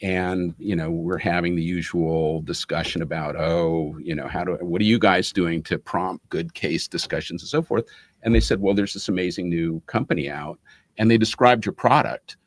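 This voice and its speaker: A man's voice: sits low, fairly even intonation, moderate pace, no echo. A man giving explanatory interview answers in a methodical, professorial way. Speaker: interview guest, business school academic.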